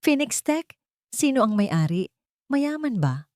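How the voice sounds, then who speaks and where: Woman acting a domineering, fretful older mother, raised pitch. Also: very animated, slow pace, darkish tone, no room sound, clean studio sound. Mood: eager. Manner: commanding, fretful, shrill scolding. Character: domineering, anxious older mother of a rich family.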